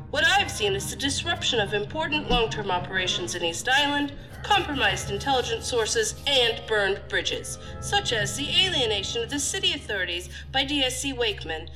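An actress plays a stern female deputy director in a science fiction audio drama. Her voice is fairly high, and she delivers formal, cutting, bureaucratic criticism. Music is clearly heard behind her. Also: lightly acted, rough recording.

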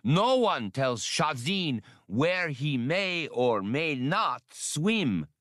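Man acting big aquatic alien bull, battle-scarred, boastful, animated pitch swings, dry sound, audiobook creature. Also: extremely slow, no room sound, full character voice. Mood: serene, defiant. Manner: booming, boastful, speaks of himself in third person.

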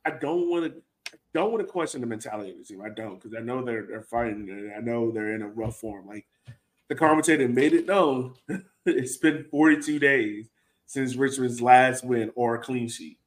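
A man's voice: mid-range voice, normal speed. A man hosting a fan podcast, talking through a soccer match in a casual, conversational way peppered with filler phrases. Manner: conversational, heavy use of "like" and "you know". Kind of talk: casual sports talk, breaking down a match.

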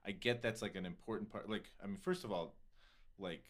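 A man's voice: low-pitched, a little fast. An American man hosting a casual podcast conversation, rambling and digressive, full of filler words and swearing. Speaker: podcast host.

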